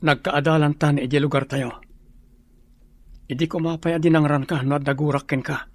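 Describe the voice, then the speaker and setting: Earnest male lead for radio drama, acted by a man, slightly high voice. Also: steady tone, normal speed, close to an everyday voice. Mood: earnest, pleading.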